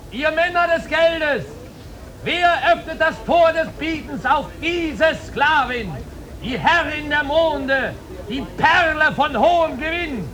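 A man's voice: very high-pitched, a bit bright, full character voice. A man playing a florid slave-market auctioneer in a 1950s radio drama, crying out ornate sales patter. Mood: proclaiming, enticing. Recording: noisy 1950s radio recording.

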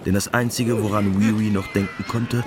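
A man's voice: lowish voice, flat delivery, normal speed, background noise. A man narrating a radio drama in a scripted literary style. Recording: clear music bed behind.

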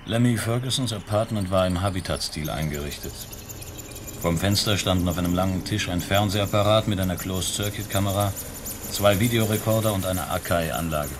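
A man's voice: low voice, brisk, noisy background, natural voice. A man narrating a science-fiction detective radio play in a sober, detached, descriptive way.

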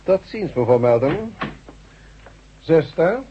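An actor playing an authoritative, condescending doctor in a radio drama, patronising and assured. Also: male voice, on the high side, big pitch swings, takes it slowly, slight background noise. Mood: brisk, instructing. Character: brisk, condescending male doctor, smoothly authoritative. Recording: dull, muffled radio drama recording.

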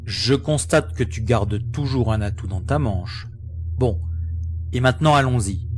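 A man's voice: medium pitch, a little slow, natural voice. A man playing a practical, wry space adventurer in a science-fiction audio drama, with a matter-of-fact delivery.

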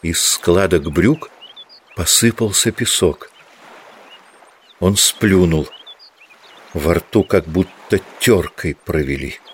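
A man with a deep, low voice narrates a literary audio drama. His delivery is measured and scripted, that of a storyteller setting out each scene. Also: slight background noise.

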